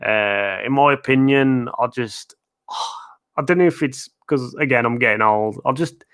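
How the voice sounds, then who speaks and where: A man's voice: mid-range voice, measured pace, a bit bright, clear, resonant tone. A man hosting a live-stream Q&A about theme parks, chatty and rambling with lots of fillers and chuckles, his voice getting worn. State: losing his voice. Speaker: theme park video creator. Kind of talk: live-stream Q&A, casual chat. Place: at home, in his living room.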